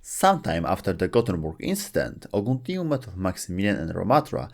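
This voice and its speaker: A man's voice: low-pitched, very animated, fairly quick, vocal fry, darkish tone. A man hosting a video, reading scripted narration about game lore, with some wry delivery.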